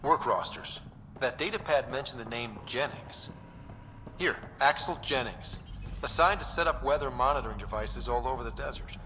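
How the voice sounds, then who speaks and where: An actor playing an earnest, straightforward young space explorer in a video game. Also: male voice, medium pitch, expressive, normal speed, slight background noise. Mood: focused. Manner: straightforward, informal. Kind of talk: naturalistic video game acting. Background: loud music.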